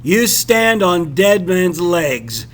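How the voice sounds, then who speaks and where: A man voicing a domineering, scornful sea captain in a sharp, demanding, forceful manner. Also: high voice, very animated, drawn-out words, heavily acted.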